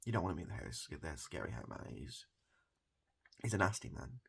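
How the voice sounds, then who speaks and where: British man, softly spoken, husky, swooping intonation, improvised ASMR barber roleplay, deadpan, rambling, hesitant, sweary. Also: sits low, brisk. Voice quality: soft-spoken, breathy. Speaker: ASMR comedy performer.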